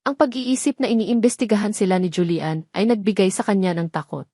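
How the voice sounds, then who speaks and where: A woman with a Filipino accent reading a romance story as a measured, dramatic narrator. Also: mid-range voice, dark tone, dry recording, studio quality.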